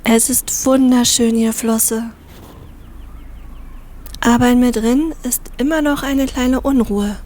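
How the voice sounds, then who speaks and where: A woman voicing an overwhelmed, restless water fairy in a fairy-tale audio story, soft and plaintive. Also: a little high, fairly even intonation, takes it slowly, long pauses. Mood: wistful.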